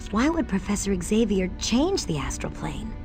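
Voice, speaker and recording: An actress playing an earnest, inquisitive young superhero recruit in a video game, full of questions. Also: female voice, medium pitch, big pitch swings, normal speed. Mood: curious, worried. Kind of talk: video game cutscene acting. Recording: music clearly behind the voice.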